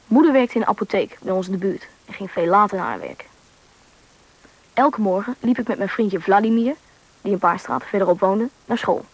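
A young performer playing a lively thirteen-year-old Russian boy who narrates and acts in a radio drama, with a slightly husky boyish voice. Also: medium pitch, lightly acted, poor audio. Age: young teen. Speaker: main character and narrator of a radio play. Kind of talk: radio drama storytelling and dialogue.

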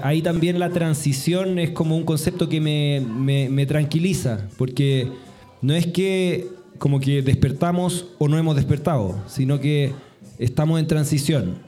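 A man's voice: fairly high pitch, mellow. A man from Chile speaking in a discussion circle, reflective and hesitant, with many fillers and restarts. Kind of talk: reflective conversational talk.

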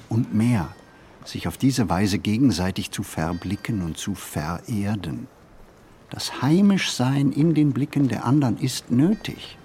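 An actor playing a brooding, introspective flaneur in a theatrical monologue, musing deliberately and shifting between emphatic and hushed delivery. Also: male voice, medium pitch, a little hiss or hum. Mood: reflective. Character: brooding, introspective male flaneur wandering his city. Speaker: stage actor.

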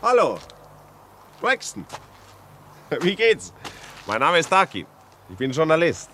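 Lively, breezy, curious journalist. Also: male voice, fairly high pitch, takes it slowly, a little hiss or hum, exaggerated voice. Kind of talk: German radio play acting.

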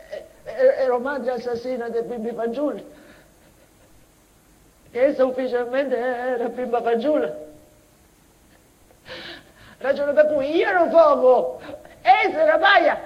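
A slow, high voice gives us a tormented, childlike madwoman in a tragicomic theatre monologue. She circles obsessively through broken, made-up dialect, repeating and stumbling over her phrases. The recording is dull and slightly muffled. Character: mad, childlike mother and former nursery teacher, obsessive and tormented.

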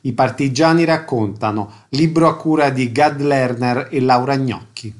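A man's voice: mid-range voice, moderate pace. A man reading a scripted programme announcement as a radio announcer.

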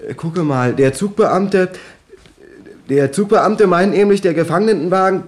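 A man's voice: high voice, a little slow. An actor playing a nervous, apologetic gangster henchman who stammers and repeats himself, in a satirical German radio crime drama. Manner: stammering, hesitant, repeats his phrases. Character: nervous, apologetic male gangster henchman bearing bad news.

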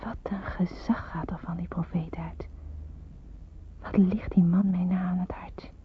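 Hushed, husky, pensive young woman. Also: fairly low pitch, steady tone. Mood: wondering, fervent. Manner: hushed, slow, breathy reflection. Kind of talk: radio drama monologue, diary-like narration. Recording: muffled roomy phone-like old radio recording.

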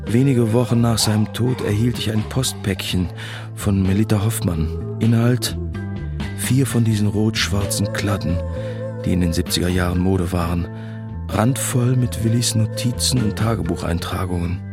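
A male actor plays a reflective, sober narrator in a radio drama. His voice is on the low side, over clearly heard guitar music. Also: normal speed, lightly acted. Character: sober, reflective man recounting the past.

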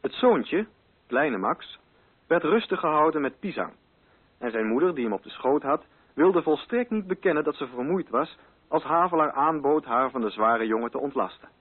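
A man's voice: on the high side, lively intonation, moderate pace, slightly rough, phone-quality sound. A man narrating a literary radio drama from a script. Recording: band-limited radio recording.

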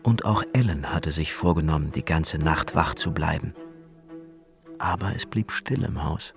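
A man narrating a scripted radio drama in a measured, suspenseful storytelling manner. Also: very deep voice, creaky, music in the background.

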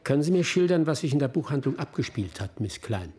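An actor in a radio crime drama playing a patient middle-aged detective, questioning politely and methodically in a husky voice. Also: male voice, mid-range voice, big pitch swings, quick pace, mellow.

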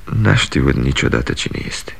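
A bitter, gravelly grown son. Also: male voice, rumbling low, sing-song, fairly bright tone, exaggerated voice. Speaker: actor. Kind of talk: 1960s radio drama acting.

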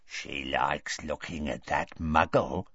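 Man doing a low, vicious, crazed taunter. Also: fairly even intonation, fairly bright tone, highs cut off, exaggerated voice. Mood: vicious taunting. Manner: ruthless, drawn-out, hissing taunts. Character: mad, vicious, taunting grown son.

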